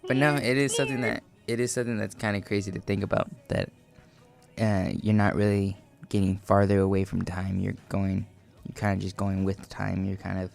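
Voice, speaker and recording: A young man co-hosts a casual radio show, laid-back and joking. His voice is low with a gravelly fry. Faint music hums behind him. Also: little pitch movement, fairly quick, a little mellow. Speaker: co-host.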